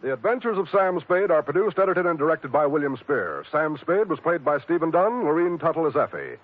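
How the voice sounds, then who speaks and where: A man's voice: a little high, very animated, fairly quick, over music. A man working as a network radio announcer, reading scripted 1950s broadcast announcements. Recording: tinny old radio broadcast recording.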